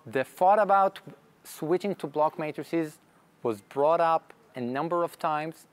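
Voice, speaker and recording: A man's voice: on the high side, unhurried. A man whose first language is Hebrew, giving a technical conference talk and answering audience questions, explaining step by step with frequent restarts. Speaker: conference speaker.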